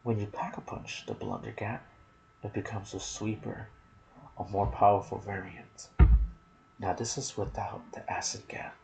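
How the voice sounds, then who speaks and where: A man narrating game lore in ASMR style, soft and breathy, often slipping toward a whisper, musing aloud in casual asides. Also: fairly low pitch, steady tone, a little slow, slight background noise, rough recording. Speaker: gaming video narrator.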